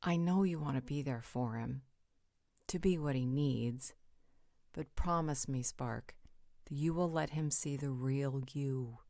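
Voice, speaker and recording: A woman's voice: a bass voice, a little slow, vocal fry, dark tone, no echo, studio quality, heavily acted. A woman playing a playful, caring member of a supernatural pack in a roleplay audio drama, teasing and reassuring. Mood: gentle, sincere.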